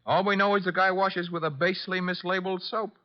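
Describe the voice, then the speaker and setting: An actor playing a breezy, wry private detective's assistant with an American accent in a radio drama. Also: male voice, high-pitched, steady tone, quick pace, natural voice. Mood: wry, curious. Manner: wry, wisecracking. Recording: dull, lo-fi radio drama recording.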